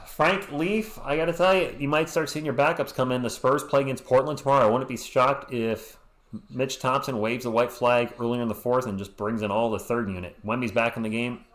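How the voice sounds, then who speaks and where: A man's voice: mid-range voice, steady tone, brisk, fairly bright tone. Chatty play-by-play man, roomy echo. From Western Massachusetts. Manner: chatty, answers chat messages with joking asides. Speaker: sports stream host. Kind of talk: live play-by-play commentary.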